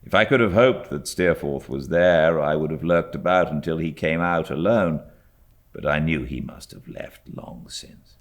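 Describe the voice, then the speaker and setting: A man's voice: extremely low pitch, normal speed. A British man with a British accent reading classic literature aloud as an audiobook narrator. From Britain.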